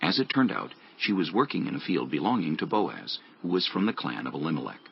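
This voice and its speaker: Male narrator, very low voice, lively intonation, scripted scripture reading, soft music, dull sound. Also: a little fast, creaky, slight background noise. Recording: dull lo-fi audio with music bed.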